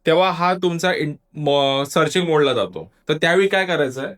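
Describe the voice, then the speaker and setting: A man's voice: a little high, animated, moderate pace, fairly bright tone. A man giving a casual product review, explaining step by step and talking straight to viewers. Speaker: motovlogger reviewing gear.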